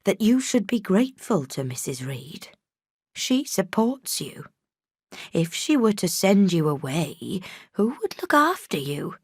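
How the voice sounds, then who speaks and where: A woman voicing a stern but calmer nursemaid who speaks firmly. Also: mid-range voice, measured pace, slightly rough, dry recording, clean studio sound, heavily acted. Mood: lecturing.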